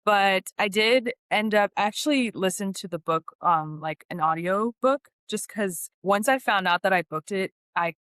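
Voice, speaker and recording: A woman's voice: mid-range voice, unhurried, few pauses, fairly bright tone, clean tone, no echo, studio quality. A woman speaking as an actress guest in a casual podcast interview, often saying "like" and quoting her own reactions.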